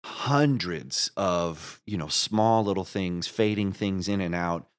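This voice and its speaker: Male interview guest, lower-pitched voice, casual and enthusiastic, explains his craft like a keen storyteller. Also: slow, clean tone. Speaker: interview guest, audio drama creator.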